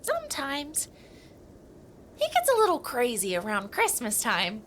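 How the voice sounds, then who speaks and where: A woman's voice: fairly high pitch, huge pitch swings, measured pace, a bit bright, a little hiss or hum, full character voice. A woman playing a timid, bookish young male mountain troll, hesitant and earnest, in a family audio drama. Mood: earnest, wistful.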